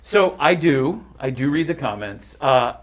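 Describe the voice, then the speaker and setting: A man's voice: mid-range voice, animated, measured pace. A man on a casual panel, telling things in a jokey, conversational way. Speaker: voice actor, panelist.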